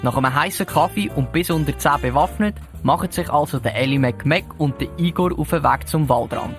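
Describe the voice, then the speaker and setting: A man's voice: on the high side, brisk. Swiss German male narrator over music. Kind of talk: scripted storytelling narration.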